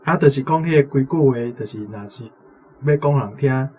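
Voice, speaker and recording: A man's voice: medium pitch, a little fast, darkish tone, breathy edge. A man with a Taiwanese accent, a language teacher giving explanatory commentary off the cuff. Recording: music playing behind the voice. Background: instrumental music.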